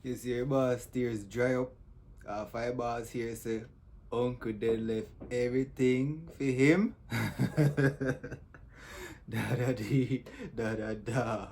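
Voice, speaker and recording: A man's voice: medium pitch, steady tone, slow, a little mellow. A man with a Jamaican accent hosting casual, joking reaction commentary. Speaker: host reacting to comedy clips.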